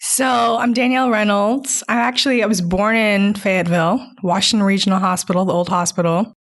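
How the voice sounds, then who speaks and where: Upbeat young woman guest, mid-pitched. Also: fairly even intonation, fairly quick. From Saint Vincent and the Grenadines. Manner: laughing easily, upbeat. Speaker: pastry chef, guest. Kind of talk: casual interview chat.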